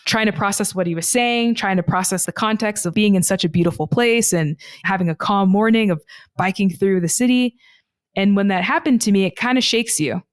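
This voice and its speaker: A woman guest on a podcast, telling personal travel stories in an earnest, reflective way and slowing down on the heavy points. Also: mid-range voice, dry recording. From United States (Texas).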